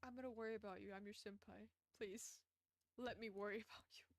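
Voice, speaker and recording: A woman's voice: mid-range voice, steady tone, fairly quick, no echo. A young adult woman streamer chatting casually while playing a visual novel, rambling with many fillers, self-conscious, laughing now and then. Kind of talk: casual let's-play commentary.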